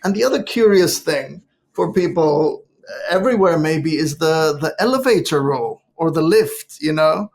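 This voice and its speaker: A man from Lebanon, a guest author in an interview, telling a humorous anecdote in a lively way. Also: a little high, moderate pace, creaky, a little hiss or hum. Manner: lively storytelling. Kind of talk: interview conversation.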